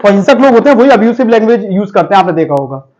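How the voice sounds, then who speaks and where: High-voiced jokey male vocabulary teacher. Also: very animated, racing, darkish tone, lo-fi sound. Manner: chatty, jokey, addresses students as children and friends. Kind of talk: classroom-style vocabulary lesson with jokes.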